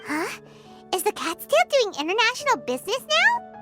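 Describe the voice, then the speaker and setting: A tiny fairy girl for an anime game. The voice is squeaky, extremely high and wildly animated, chirpy and nosy. Loud music plays behind it. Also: moderate pace, exaggerated voice. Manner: chirpy, speaks of self in third person. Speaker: video game voice actor. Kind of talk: scripted anime-style game dialogue.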